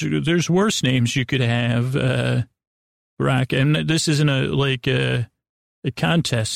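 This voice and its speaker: A man with a creaky voice hosting a bedtime podcast in a meandering, halting, improvised monologue with soothing intent. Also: fairly high pitch, dry recording, clean studio sound. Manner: meandering, halting, stumbles over words, self-interrupting; soothing, lulling. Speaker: podcast host.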